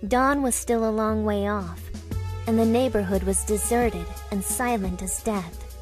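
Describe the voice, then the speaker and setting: A woman playing a composed young woman, narrating a visual novel in a calm, deliberate reading. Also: mid-range voice, natural voice. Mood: grave, hushed. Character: composed young woman recounting events thoughtfully. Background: soft background music.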